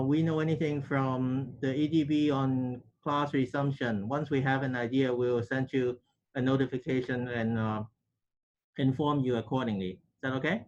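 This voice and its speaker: A man gives answers as an organiser in formal, slightly hesitant speech. His pitch is middling and the call audio sounds a bit dull. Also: darkish tone. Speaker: workshop organiser.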